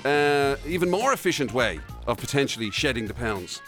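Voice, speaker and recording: Chatty Irish man with lively, swooping delivery. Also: medium pitch, normal speed, faint music behind. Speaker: radio show co-host. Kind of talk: casual podcast banter.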